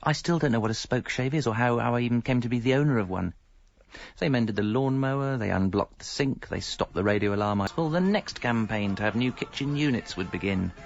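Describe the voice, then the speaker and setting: A man's voice: medium pitch, brisk, vocal fry, close to an everyday voice. An actor playing a hapless, evasive middle-aged English husband and father, narrating a radio comedy in a wry, self-deprecating way. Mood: wry, confiding. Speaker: narrator and lead character. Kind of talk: radio comedy monologue and dialogue. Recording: slightly dull audio, music behind.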